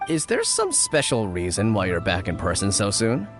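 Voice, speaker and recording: Earnest, very expressive young villager. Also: male voice, on the low side, moderate pace, faint music behind. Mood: relieved, grateful. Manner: pleading, stammering, earnest. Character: earnest, apologetic young male villager, dutiful son. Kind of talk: video game voice acting.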